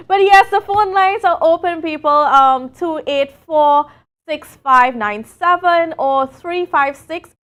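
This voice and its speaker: A Tobagonian woman with a high voice hosts a live morning talk show from the studio. She chats loosely, with plenty of fillers, and laughs easily between points. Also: a bit bright.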